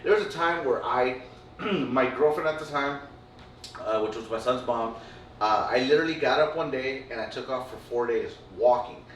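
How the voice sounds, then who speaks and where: A man's voice: mid-range voice, moderate pace. Man, rambling storyteller, casual chat, set back from the mic, roomy echo. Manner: rambling storyteller, lots of fillers and swearing. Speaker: podcast co-host. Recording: roomy, distant mic, faint room noise.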